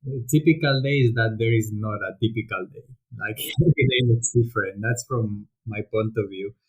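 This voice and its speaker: A man from Spain with a Spanish accent, a podcast guest talking through his career in a thoughtful, casual way with frequent pauses and restarts. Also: fairly low pitch, rough recording. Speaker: podcast guest, volunteering program director. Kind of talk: casual interview talk.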